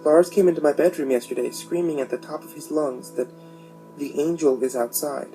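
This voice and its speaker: A man's voice: on the high side, steady tone, brisk, warm tone, low-quality recording. A man narrating a scripted horror story as a storyteller. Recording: music behind.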